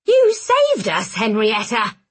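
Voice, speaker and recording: Audiobook role: small male hobgoblin, played by a woman, scolding yet fond, husky edge. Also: a little high, huge pitch swings, takes it slowly, full character voice. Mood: affectionate. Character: fussy, brisk, affectionate little male hobgoblin healer. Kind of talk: audiobook character voice.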